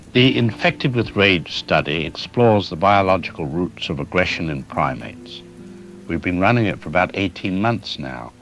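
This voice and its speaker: Careful scientist, radio drama interview: very deep voice, formal, guarded, deliberate. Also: male voice. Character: grave, careful male research scientist. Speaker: expert interviewee. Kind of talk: scripted expert news interview.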